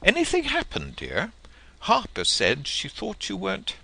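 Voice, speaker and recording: Audiobook role: genteel older mother, acted by a man, fond and fussing, pitched far up, forceful bright tone. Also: wildly swooping pitch, a little slow, vocal fry, husky, heavily acted. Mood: concerned. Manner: fond, solicitous.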